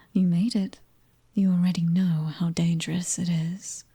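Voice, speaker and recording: Woman acting a husky, slow-talking British female mercenary. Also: lowish voice, level tone, dark tone, heavily acted. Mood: menacing, taunting. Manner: teasing, breathy, often dropping to near-whisper. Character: fiery, cocky young female mercenary, prickly and taunting. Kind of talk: ASMR audio roleplay acting.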